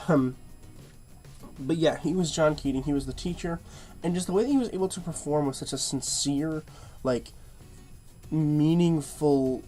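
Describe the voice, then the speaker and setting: A man's voice: medium pitch, a little mellow. Stuffy-nosed man rambling through a review. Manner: rambling, filler-heavy, repeats words. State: stuffy nose, nasal-sounding. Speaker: movie reviewer. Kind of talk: casual review monologue.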